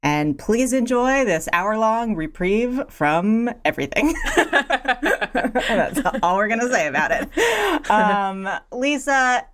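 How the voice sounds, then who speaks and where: A woman's voice: fairly high pitch, big pitch swings, slow, fairly bright tone. A young woman co-hosting a casual podcast chat, making wry jokes and adding supportive asides. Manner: wry, joking, supportive interjections. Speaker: podcast co-host.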